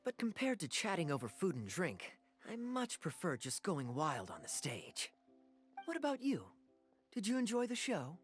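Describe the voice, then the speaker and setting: An actor playing a suave young male adventurer in a video game, with a smooth, teasing, theatrical delivery. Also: high voice, wildly swooping pitch, normal speed, faint music behind. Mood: relaxed, playful.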